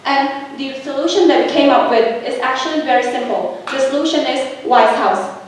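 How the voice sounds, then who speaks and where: A woman's voice: high-pitched, normal speed. A young woman from Cambodia giving an earnest, rehearsed formal team presentation. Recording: very echoey room, distant mic, faint noise.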